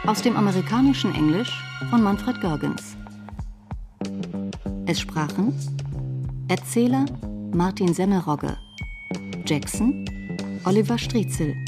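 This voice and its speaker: A woman's voice: medium pitch, lively intonation, slow pace, pauses often. A woman reading scripted radio drama credits as an announcer. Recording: guitar music behind.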